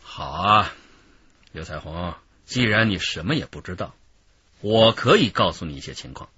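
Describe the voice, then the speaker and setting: An actor playing a stern, shrewd police interrogator in a radio crime drama, husky-voiced, lecturing with emphatic, measured authority. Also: male voice, low-pitched, lively intonation, pushed and bright, lo-fi sound, slight background noise. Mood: stern, pressing.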